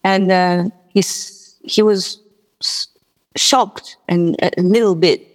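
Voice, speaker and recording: Lower-voiced Mauritian woman in a reverberant space. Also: steady tone, slow pace. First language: French and Mauritian Creole. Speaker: guest musician and singer-songwriter. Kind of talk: conversational personal storytelling. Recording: long reverberant hall sound.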